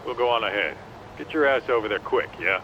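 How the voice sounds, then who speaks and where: A man's voice: medium pitch, animated, a little fast. Cocky tough guy on a phone. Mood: confident. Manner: cocky, slangy. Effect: phone call filter. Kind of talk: English video game voice acting. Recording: muffled phone call, noisy street ambience.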